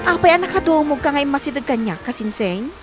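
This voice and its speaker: A woman's voice: high-pitched, animated, a little fast, music in the background. A woman acting an inquisitive, astonished relative in an Ilocano comedy drama, exclaiming and questioning with an Ilocano accent. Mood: curious, surprised.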